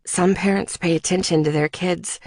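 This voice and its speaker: A woman's voice: on the low side, fairly even intonation, moderate pace, darkish tone, dry recording. A woman narrating first-person fiction as a scripted audiobook.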